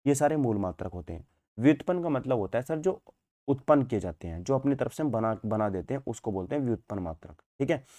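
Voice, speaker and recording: Male teacher, mellow dark tone, dry close sound, informal lecture delivery with repeated check-ins. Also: medium pitch, no echo. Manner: repetitive, asks and answers own questions, frequent check-in tags. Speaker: physics teacher. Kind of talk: informal online exam-prep lecture in Hindi mixed with English terms.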